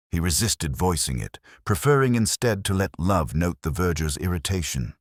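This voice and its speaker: A man reading a scripted mystery story as narrator, in a measured, formal, literary way. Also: very deep voice, no room sound, studio quality.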